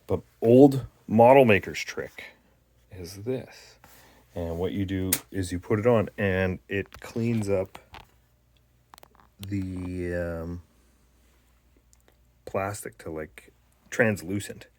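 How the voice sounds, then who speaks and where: A man's voice: deep voice, darkish tone, a little hiss or hum. A man from Canada giving a rambling, casual show-and-tell about restoring an old tape machine, stopping often with fillers and restarts. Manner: rambling, halting, lots of fillers.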